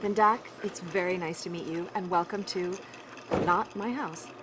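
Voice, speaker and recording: A woman's voice: on the low side, normal speed, noisy background, lightly acted. An actress playing a friendly, awkward woman pediatrician in an audio drama, apologetic and often interrupting herself. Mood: awkwardly cheerful. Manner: chatty, awkward, self-interrupting. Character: friendly, flustered, apologetic woman pediatrician who shelters fugitives. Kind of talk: naturalistic audio drama dialogue.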